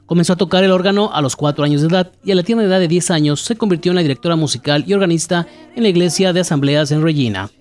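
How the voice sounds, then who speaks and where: A man's voice: fairly high pitch, racing, few pauses, darkish tone. A man hosting a music show, reading scripted narration. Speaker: radio music show host.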